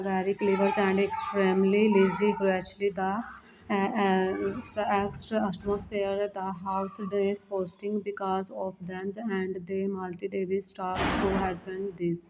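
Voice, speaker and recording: Flat, dull-sounding woman narrator. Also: mid-range voice. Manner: halting, stumbling reading. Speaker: story narrator. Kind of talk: scripted moral story narration. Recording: dull, muffled audio with faint noise.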